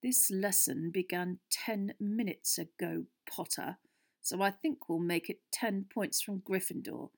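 A woman voicing a sour, sardonic male schoolmaster in an audiobook, with slow, cutting authority. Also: medium pitch, vocal fry. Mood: cold, sneering. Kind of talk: audiobook character voice.

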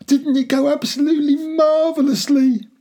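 A reader playing an excitable, daring poacher dad, raised husky voice. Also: medium pitch, very slow, voice pushed up, full character voice. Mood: breathless triumph. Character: excitable, daring poacher father, triumphant and fond.

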